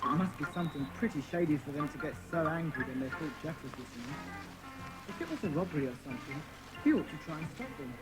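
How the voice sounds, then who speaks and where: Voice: mid-range voice, expressive, fast, over loud music, natural voice, poor audio. An actor playing a bossy but kindly well-spoken British boy who leads a children's secret club in a dramatised audiobook. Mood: earnest, planning.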